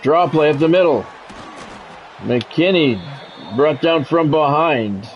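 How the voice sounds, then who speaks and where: A man with a slightly high voice calls a football video game like a radio announcer, in short, clipped phrases with candid asides. The recording is dull and lo-fi, with faint noise behind him. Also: animated, takes it slowly. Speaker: video game streamer and play-by-play commentator. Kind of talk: live sports play-by-play commentary over a football video game.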